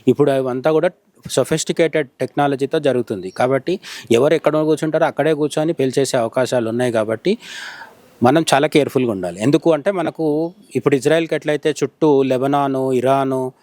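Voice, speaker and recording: Middle-aged male journalist, Telangana accent, rapid explanatory analysis, dry close sound. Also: medium pitch, darkish tone, no room sound. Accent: Telangana Telugu. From India. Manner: explanatory, poses questions and answers them. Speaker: journalist interviewed as commentator. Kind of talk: conversational news analysis.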